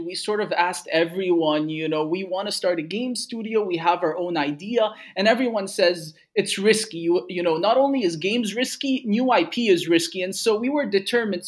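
A young man from Egypt, a game studio co-founder, telling stories in casual conversation with many fillers. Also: high voice. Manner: relaxed storytelling with frequent fillers.

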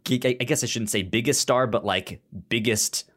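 A man co-hosting a podcast, chatting casually in a halting, rambling way and often repeating words as he goes. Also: medium pitch, fairly bright tone. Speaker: podcast co-host reviewing a TV drama. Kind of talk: casual chat.

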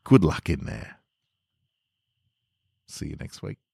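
A man's voice: extremely low pitch, very animated, takes it slowly, vocal fry, warm tone, breathy edge, dry recording, clean studio sound. A man hosting a podcast, speaking casually and earnestly to his listeners. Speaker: podcast host and storyteller. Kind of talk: casual podcast outro with scripted story reading.

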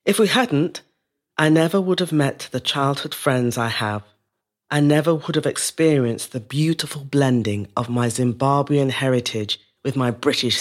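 A woman playing a resilient middle-aged woman telling her life story in a measured, reflective dramatic monologue. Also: extremely low pitch, full character voice. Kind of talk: scripted dramatic monologue, first-person storytelling.

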